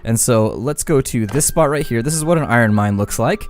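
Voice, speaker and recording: A man's voice: medium pitch, animated, fairly quick, darkish tone. A man giving casual, chatty let's-play commentary on a game, with folksy slangy phrasing. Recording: game music behind the voice. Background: game music.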